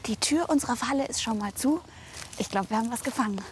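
A woman animal reporter giving hushed, excited, whispery commentary on location for children. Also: fairly high pitch, fast talker, slight background noise.

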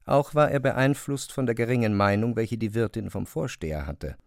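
A man narrating a literary radio drama in a measured, articulate and expressive way. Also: mid-range voice, vocal fry, dry recording, studio quality.

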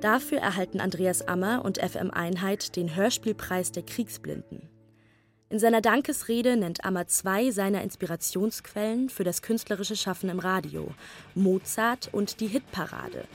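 A woman reading a scripted radio feature narration in a measured, polished way over faint music. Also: medium pitch.

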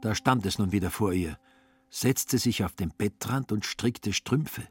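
A man's voice: fairly low pitch, a little slow, husky, no echo, faint music behind. A man delivering scripted, ironic literary narration as the storyteller of a radio play.

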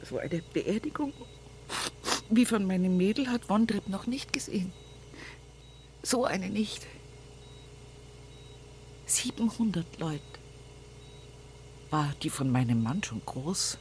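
An actress playing a grieving, devout old country mother in a radio play monologue, plain-spoken and often hushed. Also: medium pitch, lively intonation, slight background noise. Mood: wistful, mournful. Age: older. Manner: slow, hushed, often whispering, folksy.

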